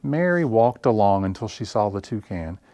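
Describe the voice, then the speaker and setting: Lively low-voiced man reading to children. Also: dry recording. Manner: expressive, deliberate storytelling. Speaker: library story time reader. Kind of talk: animated picture-book narration for children.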